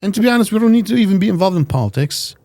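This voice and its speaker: A man's voice: sits high, expressive, very fast, darkish tone, no echo. A man co-hosting a talk show, arguing his opinions with emphasis and often repeating words as he builds a point. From America. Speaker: podcast co-host giving opinions. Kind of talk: casual debate chat.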